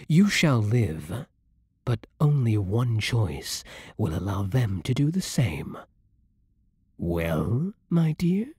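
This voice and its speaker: A man voicing a centuries-old, possessive vampire lord in an ASMR roleplay, silky and commanding with a breathy voice that often sinks into whispers. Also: medium pitch, warm tone, no echo, studio quality, full character voice. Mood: menacing, then smug, taunting. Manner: slow, theatrical, silky, commanding, condescending. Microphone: close studio microphone, dry.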